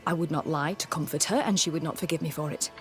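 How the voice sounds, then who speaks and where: A woman's voice: sits low, quick pace, soft background music, lightly acted. A woman voicing a proud, pious colonial healer with a prim, curt and formal manner in a video game. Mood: stiff, regretful.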